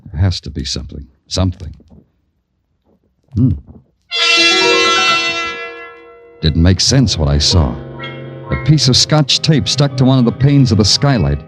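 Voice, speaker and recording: Low-voiced, wry hardboiled radio detective. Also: male voice, normal speed, pauses often. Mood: brooding. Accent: American. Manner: deadpan, sardonic. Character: weary, wry male big-city police detective narrating his case. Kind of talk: 1940s radio crime drama acting, hardboiled narration. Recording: old 1940s radio broadcast, music behind.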